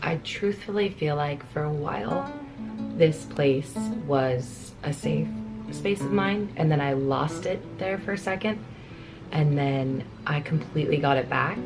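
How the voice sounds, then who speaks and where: A young woman vlogger with a naturally low, raspy voice, slightly hoarse from a cold, talking candidly and a bit rambling to the camera. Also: unhurried, music in the background. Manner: candid, rambling, soft-spoken. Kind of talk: casual talk to camera. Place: hotel room with rain and traffic outside.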